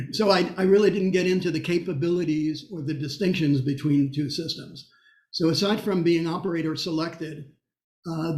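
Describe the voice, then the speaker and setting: Halting man presenting in an echoey room. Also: a little high. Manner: halting, explanatory, with pauses mid-sentence. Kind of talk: technical lecture with question and answer.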